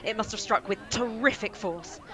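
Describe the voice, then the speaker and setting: An actress playing a female radio reporter in an old-style broadcast drama. She talks in an announcer's manner, with a middling voice that is neither high nor low. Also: a bit bright, close to an everyday voice. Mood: flustered. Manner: brisk announcing, flustered on scene. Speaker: radio commentator. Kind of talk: amateur radio play acting.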